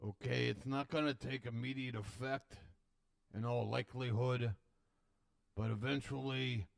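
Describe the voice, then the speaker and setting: A man hosts a live stream with a booming, gravelly voice and a slight wet lisp. He rambles in casual, self-deprecating commentary, swearing now and then. The sound is dry and close on a desk microphone. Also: steady tone, unhurried, no room sound.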